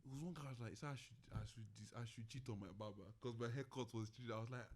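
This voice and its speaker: A man chatting as a podcast guest, bantering quickly in slang, with a London accent and Nigerian touches. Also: medium pitch, fairly even intonation. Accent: London English with Nigerian touches. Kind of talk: casual chat.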